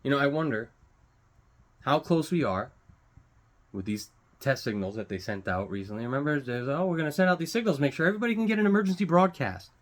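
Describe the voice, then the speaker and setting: A man's voice: mid-range voice, animated, fast talker. An American man giving an unscripted, blunt opinion rant, swearing heavily and repeating phrases for emphasis. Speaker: vlogger giving commentary.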